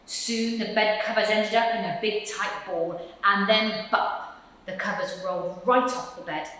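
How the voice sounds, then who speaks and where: Female children's story reader: dramatic emphasis, swooping intonation, measured speed, strong room echo. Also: mid-range voice, fairly bright tone. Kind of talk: expressive children's picture-book reading. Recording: very echoey room.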